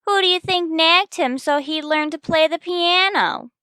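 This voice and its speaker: A woman playing a brash, sassy tavern owner's daughter in an old-time radio comedy, firing back quick comebacks. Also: very high voice, sharp tone, dry recording, studio quality, exaggerated voice.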